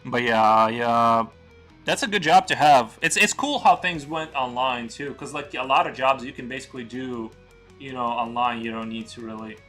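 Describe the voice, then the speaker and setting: Bright-voiced man chatting on stream. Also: mid-range voice, moderate pace, faint music behind. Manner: rambling, relaxed, lots of fillers like "like" and "uh". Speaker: streamer and artist. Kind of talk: casual live-stream chat while drawing.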